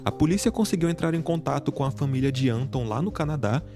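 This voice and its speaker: A man giving a scripted, measured true-crime narration. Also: medium pitch, lively intonation. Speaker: narrator of the reacted video. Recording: music bed under narration. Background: background music.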